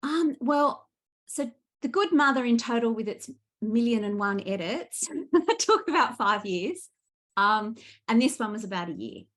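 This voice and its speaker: A woman with an Australian accent speaking as a guest author in a casual interview, animated and enthusiastic as she tells her stories. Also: on the high side, unhurried.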